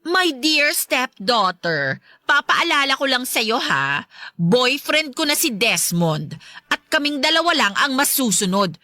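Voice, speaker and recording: A woman's voice: sits high, very animated, measured pace, bright, forceful tone, no room sound, clean studio sound, full character voice. A woman playing a spiteful, brazen stepmother figure in a Filipino radio drama, with a taunting, condescending, sugary manner and a Filipino accent. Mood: smug, taunting. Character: spiteful, brazen stepmonster girlfriend of a widowed father.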